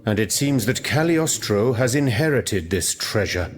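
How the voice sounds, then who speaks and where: An actor playing an earnest, thoughtful scientist of old France in a video game, speaking in a formal, reflective way. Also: male voice, fairly low pitch, expressive, unhurried. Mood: explanatory. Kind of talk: video game cutscene dramatic acting.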